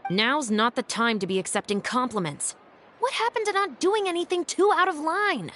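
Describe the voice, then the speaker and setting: A woman's voice: high-pitched, normal speed, bright, forceful tone, slight background noise. An actress playing a stern young woman officer who speaks in a level, dryly teasing way.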